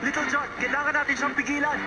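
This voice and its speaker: Anime team leader, commanding older brother, very high-pitched and emphatic, off a distant radio. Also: male voice, flat delivery, fast talker, heavy background noise. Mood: urgent, pleading. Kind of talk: Tagalog-dubbed anime acting. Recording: distant radio reception, loud music underneath.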